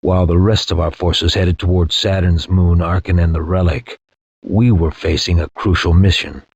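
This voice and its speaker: A man playing a war-weary space soldier, narrating a past campaign in a grave, measured, reflective way with a husky voice. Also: fairly even intonation, a little mellow, dry recording. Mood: grave, tense. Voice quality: deep, husky. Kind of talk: dramatic scripted war-memoir narration. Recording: dull, lo-fi game audio.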